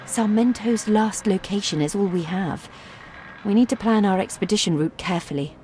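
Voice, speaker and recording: Actress as a determined, thoughtful heroine. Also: female voice, mid-range voice, normal speed, darkish tone, slight background noise, lightly acted. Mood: resolute. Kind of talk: dramatic video game dialogue.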